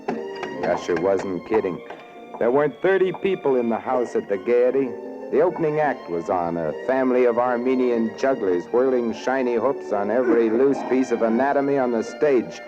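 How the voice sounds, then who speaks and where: An actor playing a haunted, wary murderer, narrating in brooding, dramatic 1940s radio noir style. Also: male voice, mid-range voice, huge pitch swings, moderate pace. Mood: brooding, grim. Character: haunted, wary male murderer narrating his own crime. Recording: dull old radio broadcast. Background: dramatic music.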